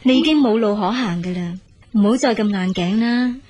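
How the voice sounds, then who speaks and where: A woman's voice: mid-range voice, moderate pace, a little mellow, close to an everyday voice. A woman playing a capable, caring elder sister in a radio drama, speaking Hong Kong Cantonese in a firm, reassuring way.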